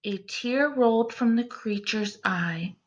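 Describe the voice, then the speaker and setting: A woman teacher doing an expressive children's read-aloud, speaking in an encouraging way aimed at young listeners. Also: medium pitch, slow, muffled recording. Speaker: teacher reading a picture book aloud.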